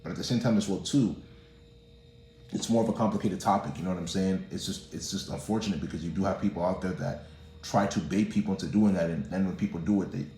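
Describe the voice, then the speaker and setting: A young man talks fast in a lowish voice, preaching a casual motivational message and leaning on "bro" and "you know what I'm saying". His voice bounces around an echoey room. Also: mellow. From Nigerian family, born in the US. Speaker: motivational vlogger. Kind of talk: casual motivational talk to camera.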